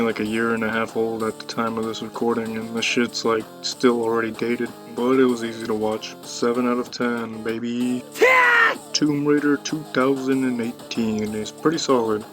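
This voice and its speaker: A young man giving a casual review voice-over in a blunt, slangy, profane manner. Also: mid-range voice. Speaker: YouTube movie reviewer. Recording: music bed behind voice. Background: background music.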